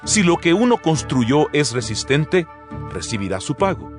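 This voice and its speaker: An actor playing the earnest, exhorting apostle Paul in a dramatized Bible reading, solemn and measured, with rhetorical delivery. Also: male voice, fairly high pitch, very animated. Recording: music bed behind the voice.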